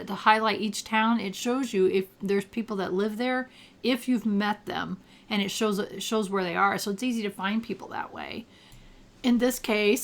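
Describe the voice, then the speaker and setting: An older woman talks her way through a game in a relaxed, chatty manner, thinking out loud as she goes. Her voice is naturally gravelly and sounds a little rough from seasonal allergies. It sits at an ordinary middle pitch. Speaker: gameplay commentator. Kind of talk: casual gameplay commentary.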